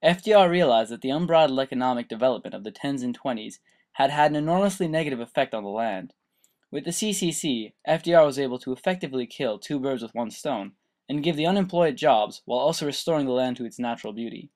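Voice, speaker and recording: A man delivers scripted history narration as a student presenter. His pitch is middling, and the delivery is that of a read documentary. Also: lively intonation, fast talker, a little mellow.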